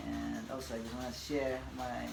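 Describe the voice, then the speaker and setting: A Filipino man in his forties, a tennis coach whose first language is Bisaya, introducing himself in a halting, casual way with many fillers and laughs. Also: mid-range voice, creaky. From Philippines. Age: 40s. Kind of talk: casual self-introduction to camera. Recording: echoey room, audible background noise.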